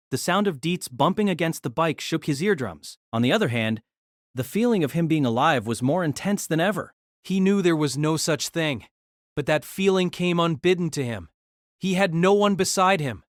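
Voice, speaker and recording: A man narrating an audio drama in an expressive storytelling manner. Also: on the high side, no echo, clean studio sound.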